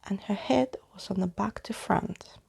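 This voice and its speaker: A woman narrates a story in a hushed ASMR manner. Her voice is dark and husky with heavy fry, often dropping to a near whisper. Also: medium pitch, measured pace.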